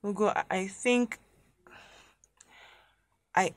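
A woman's voice: mid-range voice, extremely slow. A woman playing an earnest, pleading young woman in an audio drama, with a Nigerian accent and emotive delivery. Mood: tender, hesitant.

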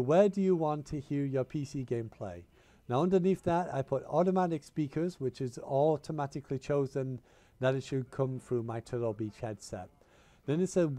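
A man's voice: on the high side, warm tone, dry recording. Man with a cold, tutorial voice. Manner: repeats "you wanna" instructions, informal and chatty. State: has a cold and a tickly cough. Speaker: channel host giving a tech tutorial. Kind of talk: casual step-by-step screen-recording tutorial. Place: home room, indoors. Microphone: gaming headset mic.

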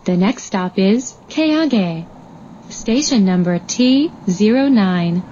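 A woman reading formal scripted transit announcements in measured, carefully separated phrases. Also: mid-range voice, expressive, warm tone. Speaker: automated train announcer. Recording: dull recording with train noise. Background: subway train ambience.

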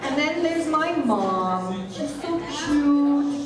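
A middle-aged woman doing a brassy, animated stand-up set on a comedy club stage, swooping between high and low for effect. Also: drawn-out words, loud music behind the voice. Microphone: handheld mic, recorded by far camera. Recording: roomy club sound from far off, crowd laughter. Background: audience laughter.

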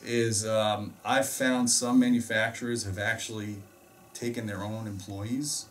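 A man's voice: lowish voice, little pitch movement, unhurried, a bit bright. A middle-aged American man explaining things casually as a host, in an emphatic, opinionated way. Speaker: host and audio reviewer. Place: small indoor home theater room. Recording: distant mic in a room.

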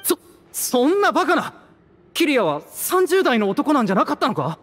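A woman voicing a hot-headed, loyal young male mercenary in an anime game, with impassioned, stubborn, rough speech. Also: high voice, very animated, moderate pace, a little hiss or hum. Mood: agitated disbelief. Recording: roomy reverb, faint music behind.

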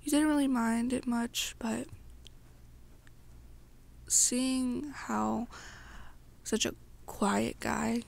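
Young woman storyteller with a creaky, high voice. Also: fairly even intonation, extremely slow, long pauses, a little mellow. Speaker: ASMR roleplay storyteller. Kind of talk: second-person ASMR fan-fiction storytelling.

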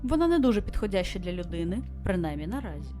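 A woman voicing a composed female starship captain who reads a mission log in a formal, measured way, as scripted visual novel narration. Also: fairly low pitch, very animated, warm tone, soft background music. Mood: composed, factual.

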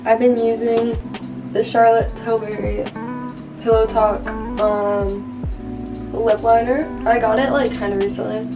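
A woman's voice: on the high side, recorded from a distance, poor audio. A woman in her twenties chatting casually as she walks through her everyday makeup routine in her bedroom, talking to a camera set up at arm's length. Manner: chatty, relaxed, lots of "like" and "um". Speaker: vlogger. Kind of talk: casual makeup vlog chat. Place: small bedroom with bathroom doorway, indoors.

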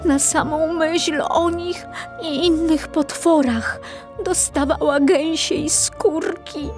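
A woman narrates a children's story with suspense and expression. Her voice sits a little high and she speaks slowly, with music clearly playing underneath. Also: fairly even intonation.

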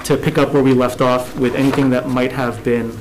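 A man runs a committee hearing in a measured, businesslike way. His voice, at an ordinary pitch, comes through a desk microphone in a government hearing room. A faint hum sits underneath. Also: flat delivery, darkish tone, low-quality recording. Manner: measured, businesslike, reading out agenda items. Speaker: committee chair. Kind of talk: formal hearing procedure.

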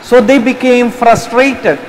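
A man in his forties, a priest, giving a slow, deliberate sermon at the pulpit microphone of a large cathedral, gesturing with raised hands as he speaks. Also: extremely high pitch, fairly even intonation. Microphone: stand mic at the pulpit. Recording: long cathedral reverb, faint background noise.

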